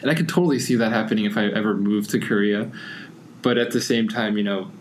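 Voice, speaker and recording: A man's voice: on the low side, quick pace, a little hiss or hum. A young man from the United States chatting casually, rambling through his thoughts with constant "like" fillers. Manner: rambling, heavy use of "like" fillers. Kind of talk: casual conversation.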